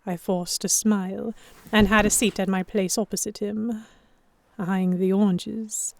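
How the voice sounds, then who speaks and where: A woman playing an anxious young noblewoman captive, narrating her own story in a measured, introspective way for a historical romance audio drama. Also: mid-range voice, level tone, vocal fry. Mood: guarded.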